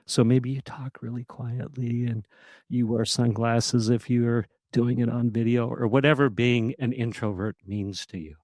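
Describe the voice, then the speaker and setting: A man's voice: mid-range voice, fairly even intonation, a little slow, darkish tone, dry recording, studio quality. A man guesting on a podcast, talking casually and animatedly, laughing often, with a powerful voice that projects. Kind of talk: casual interview conversation.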